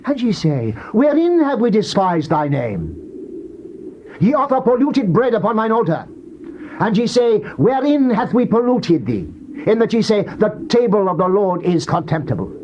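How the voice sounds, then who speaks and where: A man's voice: sits high, very animated, moderate pace, a little mellow. A man giving a solemn, theatrical dramatized reading of the King James Bible in a declamatory manner. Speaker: scripture narrator. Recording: dull, noisy old cassette tape.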